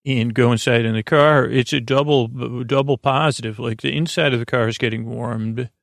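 A man with a creaky, gravelly voice hosting a sleep podcast, rambling in a lulling, halting, meandering bedtime monologue. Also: medium pitch, steady tone, no echo, studio quality. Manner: lulling, soothing, halting with tangents.

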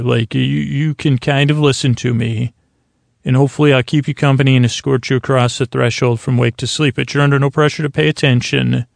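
Man, creaky gravelly voice, middle pitch, dry close sound, meandering bedtime podcast monologue, halting, whimsical. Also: dry recording. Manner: meandering, halting, repeats and over-describes. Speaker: podcast host. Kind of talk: rambling bedtime monologue to help listeners sleep.

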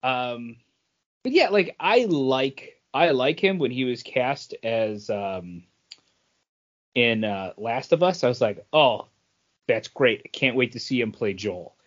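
A man's voice: mid-range voice, lively intonation, slow, a bit bright, lo-fi sound. A man co-hosting a podcast, chatting casually about geek culture and often searching for his words. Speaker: podcast co-host.

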